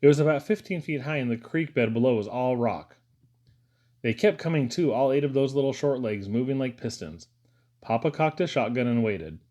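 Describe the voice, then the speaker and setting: A man's voice: mid-range voice, lively intonation, moderate pace, darkish tone. A man reading a first-person story aloud as an audiobook narrator. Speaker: audiobook reader.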